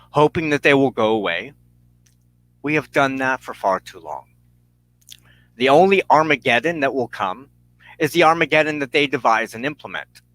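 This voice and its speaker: A man hosting as a minister, delivering an impassioned scripted monologue in an emphatic, oratorical way with deliberate dramatic pauses. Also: on the high side, bright, forceful tone, dry recording, low-quality recording.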